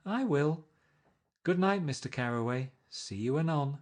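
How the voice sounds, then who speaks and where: A cool, languid young sportswoman from a classic storybook, speaking in a lightly lifted, offhand way, as a male reader voices her. The voice stays relaxed and unhurried, only a touch above his normal pitch. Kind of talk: audiobook character voice.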